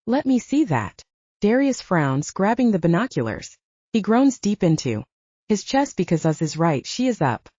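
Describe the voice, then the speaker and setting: A woman's voice: fairly low pitch, sing-song, normal speed, warm tone, dry recording, lo-fi sound. A woman narrating a story as a dramatic, scripted audiobook reading.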